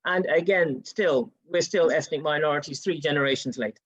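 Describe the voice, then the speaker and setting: Panelist with a dull, dry sound. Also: male voice, a little high, a little fast, a bit bright, husky, no room sound. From United Kingdom. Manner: storytelling, acts out quoted exchanges. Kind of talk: panel discussion, personal anecdote.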